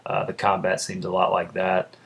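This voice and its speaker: A young man with a deep voice, chatting casually in a vlog and rambling with lots of fillers. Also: completely flat delivery, normal speed, fairly bright tone, breathy edge. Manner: rambling, lots of uhs and ums. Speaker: vlogger. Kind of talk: casual chat.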